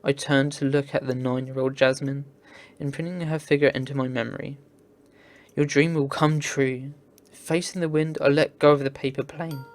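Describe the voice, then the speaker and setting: A man's voice: medium pitch, flat delivery, normal speed. A man reading visual novel text aloud in an earnest, theatrical way as a game playthrough host. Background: game music.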